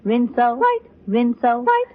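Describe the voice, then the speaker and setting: A woman's voice: on the high side, very animated, measured pace. A woman singing a cheerful advertising jingle in 1940s radio style. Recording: tinny noisy old radio broadcast.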